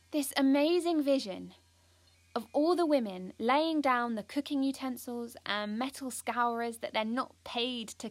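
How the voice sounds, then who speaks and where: A woman's voice: on the high side, no echo. A young British woman playing an earnest, ambitious, anxious schoolgirl who confides her story in an audio drama. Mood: fired up. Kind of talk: first-person audio drama narration.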